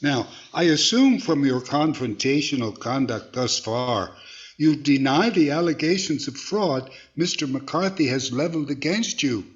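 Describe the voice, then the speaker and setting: A man playing a stern, measured judge in 1940s radio comedy style. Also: medium pitch, animated, close to an everyday voice. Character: stern, measured male judge, easily flattered. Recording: dull band-limited sound.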